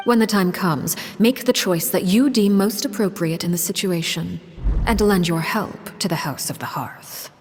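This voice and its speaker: Low, cold game villainess, formal and unhurried; woman voicing. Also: soft background music, close to an everyday voice. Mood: instructive. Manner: measured, formal, icily calm. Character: cold, commanding adult woman, stern head of a house. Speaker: villainous house leader.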